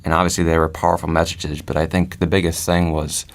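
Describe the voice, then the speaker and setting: Man hosting a casual podcast, very deep and monotone, relaxed, lots of filler. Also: fast, gravelly. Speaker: podcast host.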